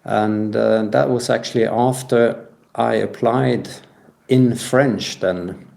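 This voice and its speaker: A man giving a personal account as an interview guest, speaking with halting, hesitant phrasing. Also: medium pitch, fairly even intonation, vocal fry, darkish tone. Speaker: podcast guest.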